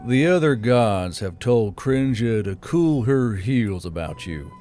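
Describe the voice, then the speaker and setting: Guy doing a tired gruff boss man for an audiobook, stern and growly, mid pitch. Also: animated, slow, warm tone, soft background music. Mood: blunt, irritated. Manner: blunt, stern. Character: gruff, weary, blunt male official, grudgingly lenient. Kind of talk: audiobook character voice.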